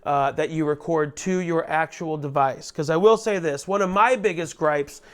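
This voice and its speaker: A loud, chatty man giving a casual product tutorial, speaking into a lavalier mic clipped under his shirt. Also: on the high side, moderate pace. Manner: loud, chatty, self-deprecating asides. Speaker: gear reviewer.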